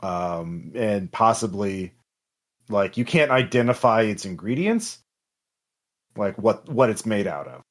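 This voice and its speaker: A man narrating as game master of a tabletop roleplaying game, in a halting, improvised way. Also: lowish voice, big pitch swings.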